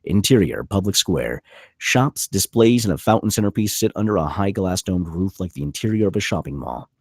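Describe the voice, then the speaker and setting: A deep, husky man reads stage directions at a film table read. His scripted narration moves with very expressive pitch as he gives scene headings and action. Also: fairly quick, no echo, studio quality.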